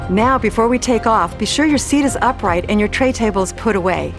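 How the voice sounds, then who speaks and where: A woman's voice: on the high side, expressive, a little fast. A woman narrating scripted airline safety instructions. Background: music.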